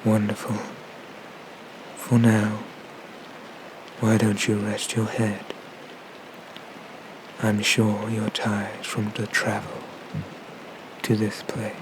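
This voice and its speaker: A man voices an ancient dragon god for an ASMR roleplay. He is haughty and condescending yet merciful, very slow and measured, with little rise or fall in pitch, slipping into breathy whispers. Noticeable ambient noise sits behind the voice. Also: completely flat delivery. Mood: gentle, commanding. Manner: slow, deliberate, formal speech with murmured, breathy whispers.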